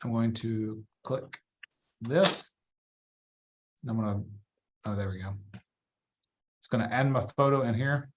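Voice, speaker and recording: A man giving a casual software walkthrough as a trainer, chatty and informal, thinking aloud as he works. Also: mid-range voice, a little slow, long pauses. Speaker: real estate trainer.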